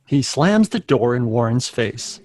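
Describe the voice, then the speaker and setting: A man's voice: mid-range voice, big pitch swings, a little slow, darkish tone. A man serves as narrator, reading scripted stage directions aloud at a table read. His voice sits in a middle register, with a dry sound free of room echo.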